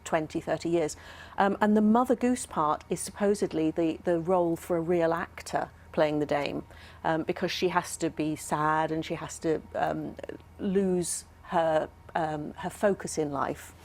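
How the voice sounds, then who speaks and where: A woman with a fairly low voice speaks as an academic guest in a studio interview. She explains in a thoughtful, keen way, pausing to find her words. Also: darkish tone. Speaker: academic expert guest, professor.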